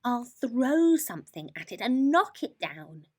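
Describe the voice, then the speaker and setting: A woman's voice: fairly high pitch, sing-song, unhurried, voice pushed up. A woman voicing an eager, naive young male mole in a children's storybook. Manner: eager, wide-eyed exclaiming. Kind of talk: children's storybook character reading.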